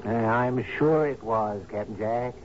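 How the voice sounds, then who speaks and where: An actor in a radio drama playing a captain's patient, knowing companion, folksy, deferential and gently insistent. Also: male voice, medium pitch, unhurried, close to an everyday voice. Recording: muffled, hissy old radio broadcast.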